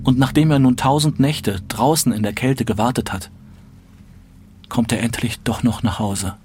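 An actor playing a solemn announcer of a bleak stage play, reading scripted radio drama narration. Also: male voice, medium pitch, moderate pace, music in the background, close to an everyday voice. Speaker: narrator reading prologue and cast list.

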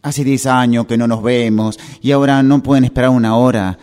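A man plays a friendly, informal guy calling his pals in a radio play. He has an Argentine accent and an average-pitched male voice, with casual phone-call delivery. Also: fairly even intonation, a little fast, a little mellow, clean tone, natural voice. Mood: impatient, friendly. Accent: Argentine Spanish. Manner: informal, chummy. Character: friendly man held up in traffic, phoning old friends. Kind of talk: radio drama acting, one side of a phone call.

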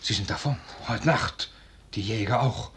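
An actor playing an agitated, loyal but reproachful officer with urgent stage delivery in a 1950s German radio play. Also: male voice, fairly low pitch, a little slow, close to an everyday voice. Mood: alarmed. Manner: urgent, theatrical. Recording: noisy 1950s radio drama recording.

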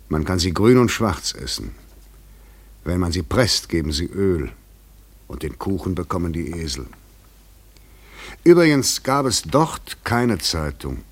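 Tiefe, raue Stimme eines getriebenen Mannes. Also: male voice, extremely low pitch, breathy edge, heavily acted. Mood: musing. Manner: articulate, rhetorical, insistent. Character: overwrought, weary, probing male fugitive intruder. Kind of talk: German literary radio play acting.

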